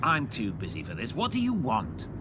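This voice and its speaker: A scornful, curt miserly businessman, animated delivery. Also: mid-range voice, moderate pace. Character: miserly, irritable businessman uncle who scorns Christmas. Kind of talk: modern audio drama acting. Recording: noisy urban and vehicle ambience behind.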